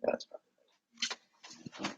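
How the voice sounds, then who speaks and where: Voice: very slow, darkish tone, lo-fi sound. A streamer who is starting a cold and sounds sniffly, chatting casually while wrapping gifts at home and rambling apologetically to the viewers and to themself. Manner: rambling, apologetic, talks to self. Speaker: streamer and writer. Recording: noisy home recording.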